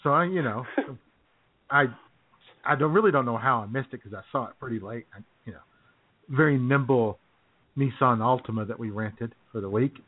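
A man's voice: mid-range voice, normal speed, breathy edge, dry recording. An American man co-hosting a talk show in casual, jokey banter, often chuckling as he goes. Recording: tinny, phone-like band-limited sound.

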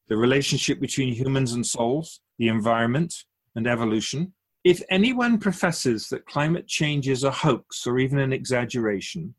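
Man playing a lively, husky wise spirit guide. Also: medium pitch. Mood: solemn, earnest. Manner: measured, musing, rhetorical questions, formal wording. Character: wise otherworldly spirit Keeper, patient, gently teasing, solemn teacher. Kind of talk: radio drama dialogue.

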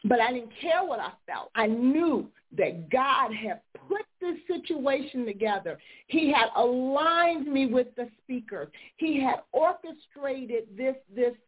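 A woman talk show host preaching with fervor, her voice slow, husky and congested from illness. She comes through a thin, tinny phone line. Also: high voice, lively intonation. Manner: fervent, decreeing, rising to preaching fervor. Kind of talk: faith-based radio talk, preaching.

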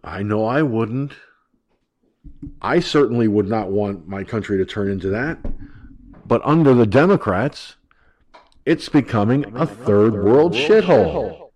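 A man's voice: lowish voice, sing-song, a little slow, pauses often, a little mellow. Stuffed-up, profane ranting male talk host. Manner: brash, profane, mocking. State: stuffed up, nasal from allergies. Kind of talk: political talk rant.

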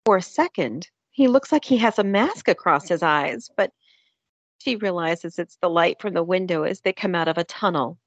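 A woman's voice: on the low side, lively intonation, moderate pace, dry recording. A woman narrating a scripted fantasy drama.

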